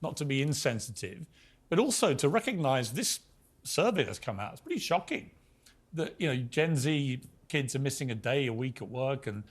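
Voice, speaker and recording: A man's voice: medium pitch, big pitch swings, normal speed. A man hosting a TV debate show who speaks emphatically and cuts in with pointed questions. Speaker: host.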